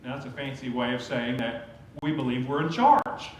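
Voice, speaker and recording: A pastor preaching a sermon in a conversational, storytelling way. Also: male voice, mid-range voice, expressive, normal speed. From Oklahoma. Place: church sanctuary. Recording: very echoey church sanctuary, faint hum.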